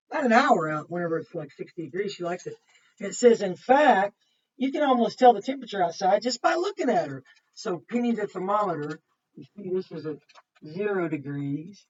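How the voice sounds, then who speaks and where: A woman's voice: mid-range voice, a little mellow. A woman kindergarten teacher reading a picture book aloud to young children, with simple explanations and chatty asides. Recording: muffled, distant microphone.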